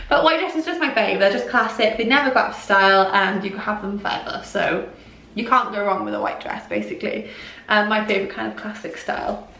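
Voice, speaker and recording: A woman in her thirties from Wales with a slight Welsh accent, chatting brightly to camera about things she has bought, with lots of rambling asides. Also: mid-range voice, far from the mic. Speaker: fashion vlogger. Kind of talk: casual haul chat to camera. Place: small bright room indoors, in front of a door. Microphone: camera a few feet away. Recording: roomy sound, mic set back.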